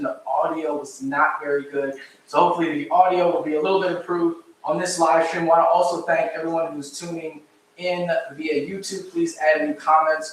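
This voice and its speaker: A man giving an informal history talk to a live audience, speaking loudly and conversationally and asking the room questions. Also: a little high, a little hiss or hum. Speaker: guest speaker and local historian. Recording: very echoey room, distant mic.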